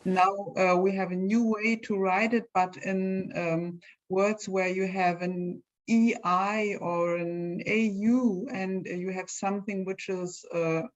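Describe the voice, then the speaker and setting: A woman's voice: medium pitch, fairly even intonation, slow, hardly any pauses, lo-fi sound. A woman with a German accent teaching a language class and explaining in English.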